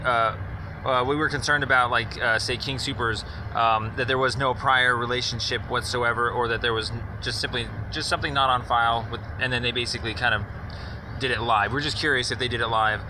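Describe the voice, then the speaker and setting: A man speaking as a caller making an informal inquiry, explaining at length in a halting, rambling, wordy way. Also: medium pitch, steady tone. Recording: noisy phone call with outdoor traffic.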